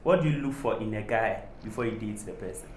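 A man's voice: fairly low pitch, fast talker. A young man hosting a casual studio interview, playful and jokey, often echoing his guest's words back. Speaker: show host, interviewer. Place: studio set with screens, indoors. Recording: echoey room with faint hiss.

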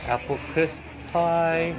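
A man's voice: a little high, slow, noisy background. A man filming a family outing in a farm shop, coaxing a child along and mixing in Cantonese. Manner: coaxing, gives directions to a child, mixes in Cantonese. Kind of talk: casual family chat. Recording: shop music behind.

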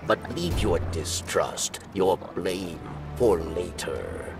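Casting: weary alien exile, very low, croaking and extremely slow, secretive pleader for a sci-fi game. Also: male voice, animated, exaggerated voice. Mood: grave warning. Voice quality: gravelly, rasping, breathy hisses. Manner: halting, theatrical, speaks of himself in third person. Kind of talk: video game character acting. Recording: game music behind, slightly distant.

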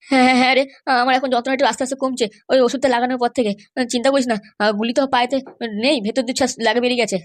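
A man's voice: extremely high pitch, little pitch movement, rapid-fire. A man voicing an injured, aggrieved older uncle in an amateur comedy cartoon, complaining emphatically. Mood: pained, worried.

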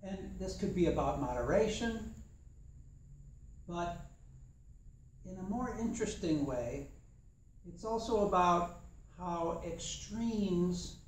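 A young man teaching a tai chi class in a dojo while he demonstrates, talking in a relaxed, thoughtful way. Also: high voice, takes it slowly, pauses often, slight background noise. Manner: relaxed, thoughtful, chatty with fillers. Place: large empty dojo with mat floor. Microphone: far camera. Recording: echoey room from a distance.

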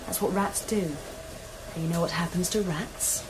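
A woman's voice: on the low side, steady tone, normal speed, slight background noise, close to an everyday voice, rough recording. An actress playing a bitter, scornful young woman student in a radio drama, hostile and cutting.

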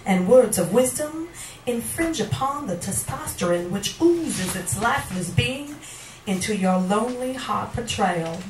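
A woman reading poetry live at a small venue, with a dramatic, deliberate delivery, recorded with a handheld microphone in a roomy space. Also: fairly high pitch, expressive, a little mellow, distant mic, a little hiss or hum. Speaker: poet reading at a poetry night. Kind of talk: live spoken-word poetry reading with casual asides. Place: small wood-panelled indoor bar venue. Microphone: handheld stage microphone, picked up from a camera.

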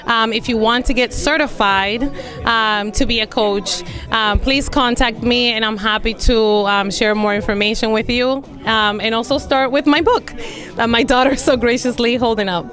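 A woman's voice: a little high, fairly even intonation, moderate pace, a bit bright, faint music behind. A woman in her forties, a life and business coach, speaking earnestly with many fillers into a handheld mic at an indoor event. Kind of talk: event interview testimonial. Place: indoor event room against a plain wall.

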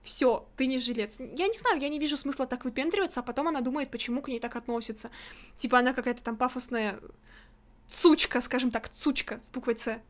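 A woman's voice: high voice, fast. A young woman streaming from a home room into a studio mic, giving blunt, opinionated commentary and nitpicking as she reacts. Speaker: streamer reacting to a music video. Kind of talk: casual critical commentary. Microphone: large studio mic in front, headset on.

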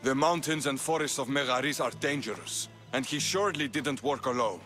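An actor playing a stern, suspicious Spartan army officer in a video game cutscene, with a clipped, commanding manner. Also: male voice, medium pitch, a little fast, bright, forceful tone, natural voice. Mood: grim, commanding. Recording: game dialogue over background music.

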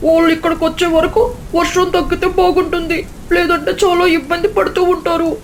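A woman's voice: extremely high pitch, level tone, fairly quick, a little hiss or hum, pitched above the performer's own voice. A woman voicing a timid, worried small child bird in a cartoon story, sounding small and childlike. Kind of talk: cartoon moral story character acting.

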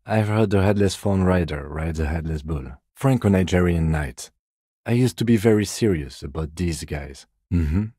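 A man playing a reserved, principled police detective in a video game, with a measured, formal, dry-witted delivery. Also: deep voice, slightly rough, no room sound. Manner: measured, deadpan, formal and understated. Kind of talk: scripted video game dialogue lines.

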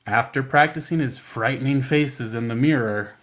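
A man reads picture books aloud as a children's storytime host. His pitch is average, and he drops in playful, casual asides in simple phrasing. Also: moderate pace, fairly bright tone.

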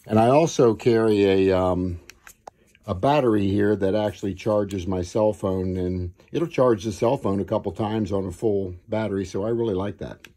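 An older man showing the gear he carries on his e-bike in a relaxed, plain way, with many ums and uhs. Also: on the low side. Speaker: e-bike channel host. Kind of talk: casual show-and-tell of gear.

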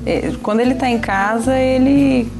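A Brazilian woman in her thirties, a guest on a TV chat show, answering in a relaxed, teasing way. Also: high-pitched, normal speed. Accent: Brazilian Portuguese. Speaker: interview guest. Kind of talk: casual chat. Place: small TV studio set with table. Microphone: lapel. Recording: music underneath.

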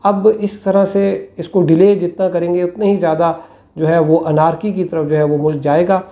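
Man, 40s, political commentator, lapel mic, small room, warm tone. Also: high voice, level tone. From Pakistan. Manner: measured, many hesitations and fillers. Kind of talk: analytical commentary to camera. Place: small indoor room with plain walls.

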